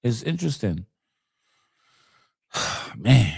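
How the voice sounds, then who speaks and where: A man's voice: medium pitch, sing-song, very slow, creaky, darkish tone, slightly rough, no echo, studio quality. A man giving casual, emphatic commentary, repeating his points in slangy street talk. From United States.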